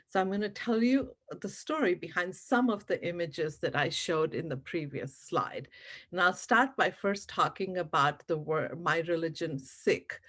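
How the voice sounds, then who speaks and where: A woman from India whose first language is Punjabi presents to teachers over a video call. Her voice sits a little low, and her delivery is earnest and explanatory, full of ums and "right?" checks. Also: a bit bright. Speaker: educator presenting on Sikhism.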